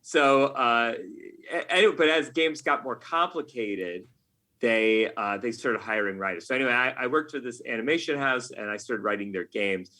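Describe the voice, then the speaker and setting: A man's voice: mid-range voice, moderate pace, pushed and bright. A man talking casually as an interview guest, telling stories in a jokey, self-deprecating way. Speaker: podcast guest, game writer.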